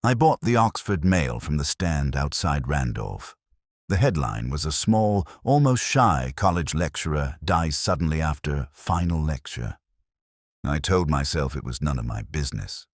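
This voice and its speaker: An extremely deep male voice narrates a reflective mystery in scripted first person. The delivery is measured and melancholy, yet the pitch moves expressively through each phrase. The sound is dry, with no room around it. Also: sing-song, no room sound.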